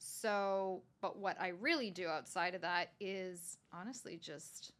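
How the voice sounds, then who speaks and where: A chatty woman in her forties from Canada, whose first language was a Calabrese dialect. Her voice is bright and clear, and she talks in a lively way with frequent laughs, like a guest on a podcast. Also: fairly low pitch, unhurried. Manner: animated, laughs often, chatty. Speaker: guest chef. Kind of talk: casual podcast interview. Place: hotel room.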